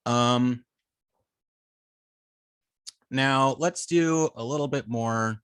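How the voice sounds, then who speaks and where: A man with a mid-pitched voice teaches web development through a live demonstration. He speaks informally and haltingly, thinking aloud between steps. The sound is dry, with no room echo. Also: a bit bright, smooth. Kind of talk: live coding demonstration, explaining step by step.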